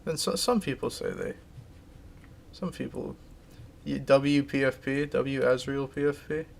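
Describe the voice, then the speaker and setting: A man's voice: medium pitch, steady tone, measured pace, vocal fry, slight background noise. A man streaming live, casually chatting and reading out viewer comments, rambling and restarting his sentences.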